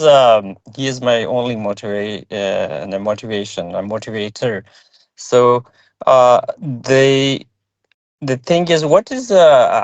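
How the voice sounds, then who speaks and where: A middle-aged man who speaks English as a second language, asking questions haltingly and searching for words. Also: medium pitch, no room sound. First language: not English. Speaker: prospective student asking questions. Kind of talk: hesitant spoken questions in an online meeting. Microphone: online meeting call. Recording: dull lo-fi online call audio.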